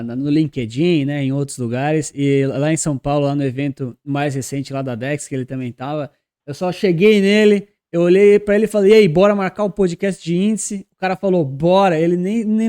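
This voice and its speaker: Host: man, mellow tone and a somewhat raised pitch, loose conversational interviewer. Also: smooth. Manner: chatty, loose with fillers like "né" and "cara". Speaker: podcast host. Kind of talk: casual podcast interview.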